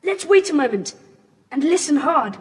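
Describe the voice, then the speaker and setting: A woman playing an eager, inquisitive British child adventurer in a children's audio drama, animated and emphatic. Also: sits high, moderate pace. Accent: British English. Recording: faintly hissy cassette tape.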